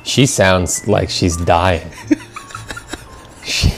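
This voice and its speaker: A man's voice: sits low, drawn-out words, gravelly, faint music behind. A young man co-hosting a podcast, chatting casually, teasing and laughing often. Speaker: podcast co-host.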